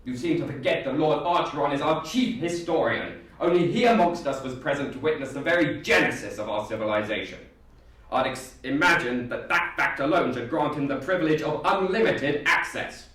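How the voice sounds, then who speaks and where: A man's voice: fairly high pitch, lively intonation, brisk, a bit bright. A man acting a haughty Time Lord councillor, declaiming formally in a dramatic audition reading. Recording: echoey room, far from mic.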